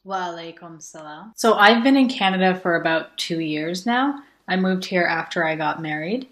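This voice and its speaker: A woman's voice: fairly low pitch, normal speed. A young woman from California, introducing herself in a plain, conversational way. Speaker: guest contributor. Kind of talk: casual self-introduction.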